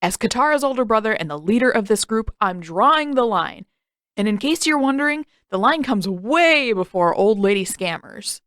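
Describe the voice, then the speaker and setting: A woman's voice: a little high, lively intonation, normal speed, fairly bright tone, no room sound, studio quality. A woman voicing a teenage boy, a sarcastic and defensive big brother with emphatic delivery. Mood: indignant.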